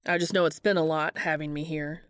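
A weary, earnest man in an audiobook drama, played by a woman narrator. The voice is slightly low and subdued, with longing in it. It is recorded dry and close. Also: fairly even intonation, fairly quick, smooth, no room sound, close to an everyday voice. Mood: subdued, grateful.

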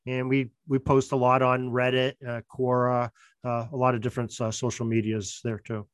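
A man speaking as a podcast guest, explaining things in a halting, earnest, casual way. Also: medium pitch, monotone, no echo. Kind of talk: casual interview chat.

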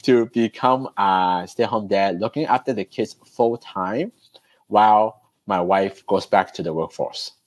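A man's voice: fairly low pitch, a little slow, no echo. A man, chatting loosely as a podcast guest. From Hong Kong, raised in Canada. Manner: relaxed, loose, with fillers and restarts. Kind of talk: conversational storytelling.

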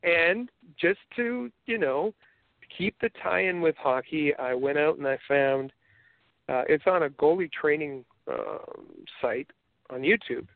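Canadian male co-host, rambling, halting, slightly high voice, dry phone-quality audio. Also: lively intonation, vocal fry, no echo. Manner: rambling, halting with many restarts. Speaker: podcast co-host. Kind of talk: casual chat. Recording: tinny phone-like line.

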